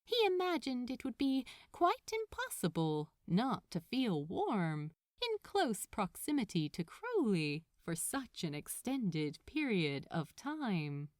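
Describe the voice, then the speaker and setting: A woman's voice: on the high side, wildly swooping pitch, slow, few pauses, no room sound, studio quality. A woman reading fiction aloud as a scripted story narrator. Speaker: podfic narrator.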